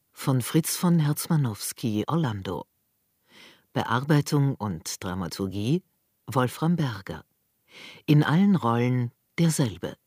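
Slow, husky male radio announcer. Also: mid-range voice, darkish tone, dry recording, clean studio sound. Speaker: radio announcer reading credits.

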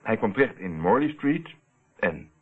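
Deep-voiced investigator, tinny radio sound. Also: male voice, big pitch swings, normal speed, breathy edge. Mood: pondering, explanatory. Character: self-assured male private investigator explaining a solved case. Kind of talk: Dutch radio play acting. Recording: tinny, narrow-band old radio play recording.

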